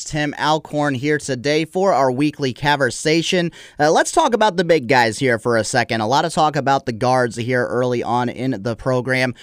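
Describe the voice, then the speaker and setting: A man's voice: medium pitch, no echo, clean studio sound. A man hosting a sports radio interview in a casual, chatty, conversational way. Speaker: sports show host.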